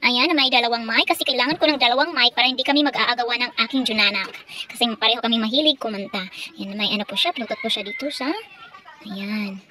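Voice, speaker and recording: A woman's voice: fairly high pitch, fast. A Filipino woman giving a chatty, enthusiastic, casual product unboxing as a vlogger. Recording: home recording with background noise.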